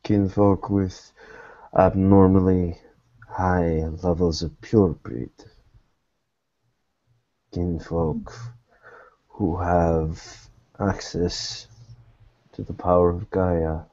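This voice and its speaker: A low, mellow-voiced, guarded investigator played by a man in a tabletop roleplaying game. He picks his words slowly and formally, weary and deliberate. The recording is dull and dry. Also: level tone, no room sound, full character voice. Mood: grave, measured. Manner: slow, halting, deliberate, formal phrasing. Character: weary, guarded male private investigator in secret fellowship.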